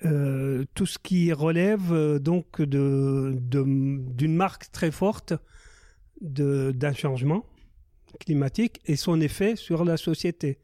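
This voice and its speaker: A man in his sixties, originally from Palestine, an agronomist speaking in a group discussion, measured and searching for words. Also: a little high, mellow, no echo, studio quality. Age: 60s. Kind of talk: casual discussion.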